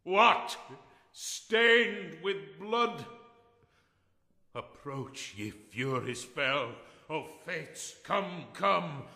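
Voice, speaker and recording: An actor playing a hammy amateur thespian as a doomed lover, declaiming Shakespearean verse melodramatically in a radio drama. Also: male voice, on the high side, animated, drawn-out words, vocal fry, pushed and bright, exaggerated voice. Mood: anguished, bombastic. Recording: echoey hall with long reverb.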